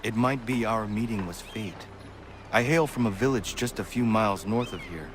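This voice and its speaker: Actor as a low-voiced, stoic young frontier assassin. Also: male voice, background noise, natural voice. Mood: reserved, polite. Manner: formal, measured, terse. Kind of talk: video game acting.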